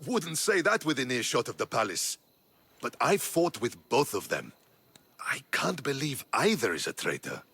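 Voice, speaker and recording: Wry soldier ally, lively and raspy. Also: male voice, mid-range voice, normal speed, full character voice.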